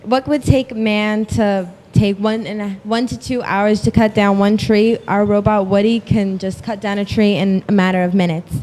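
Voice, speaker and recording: A woman's voice: mid-range voice, steady tone, normal speed, few pauses, darkish tone. A young woman from New York, presenting her team's robot in an earnest, partly rehearsed way. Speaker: student presenter. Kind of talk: presenting a project.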